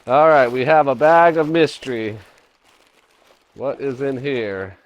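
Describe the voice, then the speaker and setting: A middle-aged man with a hoarse voice after a cold, hosting a casual unboxing vlog and rambling aloud as he digs through a storage locker, recorded on a chest-mounted camera in a dim indoor room. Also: medium pitch, slow, muffled recording. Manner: rambling, thinking aloud while digging through items. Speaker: storage locker reseller, channel host.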